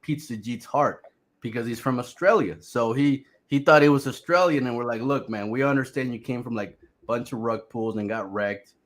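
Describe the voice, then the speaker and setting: A man's voice: mid-range voice, moderate pace. A man co-hosting a casual livestream, wry and teasing, dropping in dry jokes. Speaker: co-host. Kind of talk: casual chat.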